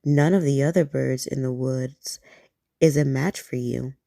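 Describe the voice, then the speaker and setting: Sly male storybook fox, read by a woman, low, gravelly, oily flattery. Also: measured pace, dry recording, clean studio sound, exaggerated voice. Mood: flattering. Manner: flattering, sweetly cunning.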